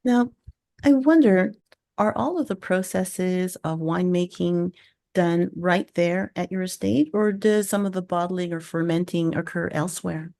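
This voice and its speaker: A middle-aged woman interviewing as a podcast host, with measured, reflective and appreciative phrasing. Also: lowish voice, gravelly, warm tone. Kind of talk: conversational interview. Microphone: studio microphone with pop filter, video call.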